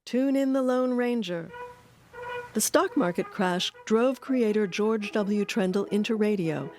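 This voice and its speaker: A woman's voice: mid-range voice, unhurried, a little mellow, slight background noise. A woman delivering scripted documentary narration.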